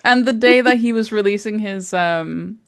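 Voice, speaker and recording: A woman co-hosting a podcast in casual chat, giggly and self-conscious, telling stories with animated voices. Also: mid-range voice, normal speed, no echo, clean studio sound. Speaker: podcast co-host.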